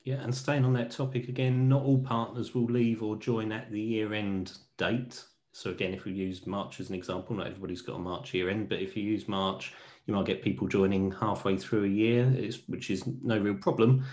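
A middle-aged man, an accountant, hosting a professional discussion over a video call, measured and asking colleagues for their views. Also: on the low side, steady tone, darkish tone. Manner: measured, asks colleagues questions. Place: home room with teal wall. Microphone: earphone headset on video call.

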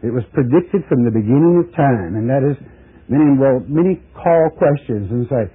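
A man teaching a Bible sermon as pastor, slow and deliberate, dropping low at the ends of phrases. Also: expressive, husky. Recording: tinny narrow-band broadcast audio.

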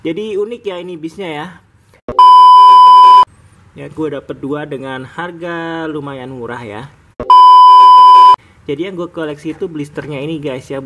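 A man's voice: a little high, heavy background noise. Chatty man. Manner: relaxed, chatty, sprinkled with "guys". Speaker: diecast toy channel host. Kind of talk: casual toy review vlog. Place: indoors at a table, off camera.

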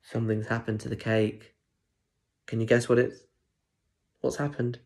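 A man's voice: medium pitch, flat delivery, moderate pace, creaky, darkish tone. A British man in his twenties reading a children's story in a playful way, with chatty asides and questions for young listeners. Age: 20s. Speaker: primary school story reader. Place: plain room at home. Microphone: phone or tablet at arm's length.

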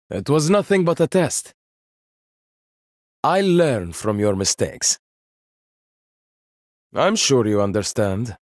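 An actor voicing a proud, witty young male inventor-warrior in a video game, speaking in measured, confident lines with dry wit. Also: medium pitch, no room sound. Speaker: game character.

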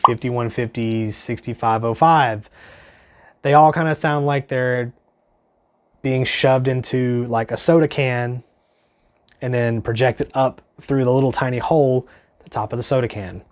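A young man in his twenties with a stuffy, congested voice from a cold, chatting casually and earnestly as he reviews gear in his small home studio, with lots of ums. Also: medium pitch. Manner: chatty, filler-heavy, earnest.

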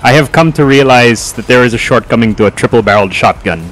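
A man's voice: medium pitch, fast talker, fairly bright tone, a little hiss or hum, poor audio. A man joking wryly and swearing freely while playing a co-op game with friends. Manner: wry, jokey, swears freely. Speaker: channel host. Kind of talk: casual co-op gaming chat.

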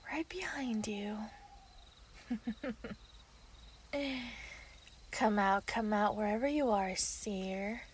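A woman in an ASMR roleplay voicing an obsessive, sweetly possessive female werewolf, breathy and often dropping into a whisper. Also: mid-range voice, slight background noise. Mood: menacing, taunting. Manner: slow, teasing, giggly.

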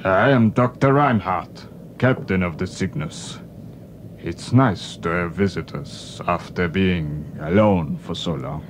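An actor playing a formal, imperious scientist captain of a starship in a storybook drama. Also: male voice, low-pitched, slow. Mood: smooth, proud. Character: bearded, commanding mad scientist captain of a starship. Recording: clear noise behind the voice.

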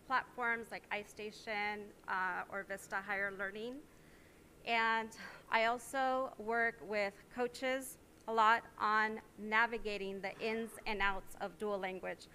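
Bright earnest woman introducing her work. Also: medium pitch, a little hiss or hum. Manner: earnest, listing duties with pauses. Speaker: dual language teacher on special assignment. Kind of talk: formal self-introduction. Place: board meeting room, indoors.

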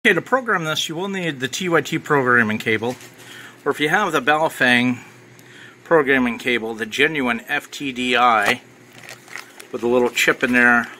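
A man's voice: mid-range voice, normal speed, bright, forceful tone, slight background noise. A man giving casual, off-the-cuff unboxing commentary as a product reviewer. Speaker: ham radio reviewer.

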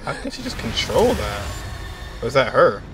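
A man's voice: medium pitch, wildly swooping pitch, normal speed, poor audio. A man in his twenties giving casual live commentary as he reacts to game cutscenes. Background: game soundtrack music.

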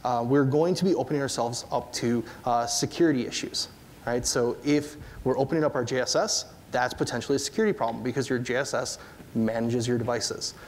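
A man in his thirties with an upper Midwest accent, giving a relaxed technical conference talk with lots of fillers and questions to the audience. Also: medium pitch, slight background noise. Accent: upper Midwest American. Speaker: conference presenter. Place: large dark stage before an audience.

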